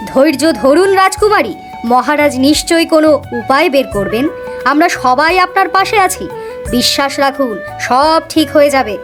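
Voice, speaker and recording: A woman's voice: sits high, animated, normal speed, over music. A woman voicing a loyal, reassuring palace attendant in a cartoon fairy tale, earnest and soothing.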